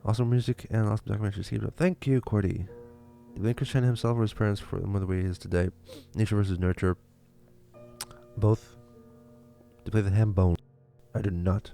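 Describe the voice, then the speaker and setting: A man's voice: fairly low pitch, fairly even intonation, brisk, creaky, dark tone, soft background music. A young man from Lithuania with a Lithuanian accent, chatting casually on a livestream and answering viewer questions, with many fillers and restarts. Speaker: livestream host.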